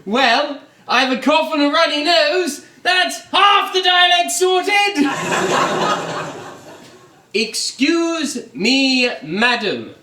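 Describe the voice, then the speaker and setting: An actor playing a jaunty, plummy gentleman in a comic radio double act. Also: very high voice, very animated, very slow, a little hiss or hum, exaggerated voice. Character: jaunty posh English gentleman comic, Eric. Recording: echoey theatre stage.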